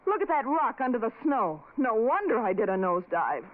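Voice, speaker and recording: Brash, lively young woman. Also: a little high, brisk. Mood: breezy, joking. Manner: breezy, slangy, sassy. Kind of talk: 1970s radio horror drama acting. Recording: tinny narrowband vintage radio recording.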